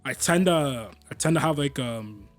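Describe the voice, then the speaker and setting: A man's voice: mid-range voice, animated, normal speed, faint music behind. A young man co-hosting a podcast, rambling through his thoughts with lots of fillers and calling his friend bro. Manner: rambling, filler-heavy, says bro a lot. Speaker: podcast co-host. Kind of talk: casual chat.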